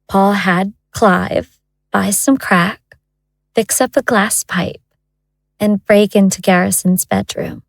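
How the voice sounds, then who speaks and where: Woman as a slow, gravelly crime-story narrator. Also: medium pitch, fairly even intonation, no echo. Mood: wry, confiding. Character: wry, hard-boiled female storyteller.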